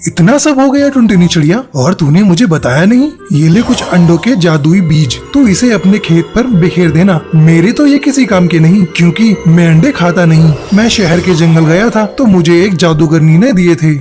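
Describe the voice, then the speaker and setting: Woman doing a caring young boy pigeon, lowish n dark soft tone, cartoon, faint music. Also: lively intonation, normal speed, hardly any pauses, lightly acted. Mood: concerned, helpful. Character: young male pigeon, caring, generous friend. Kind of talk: Hindi cartoon moral story acting. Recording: music behind the voice.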